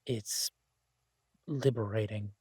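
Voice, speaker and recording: A man playing a laid-back, idealistic chef in an audio roleplay, talking casually with hesitant pauses and mild swearing. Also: on the low side, fairly even intonation, warm tone, slightly rough, no echo, studio quality. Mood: earnest, opinionated. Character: laid-back, idealistic male chef, devoted to honest food. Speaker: chef and restaurant owner character in an audio roleplay. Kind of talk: intimate conversational audio roleplay.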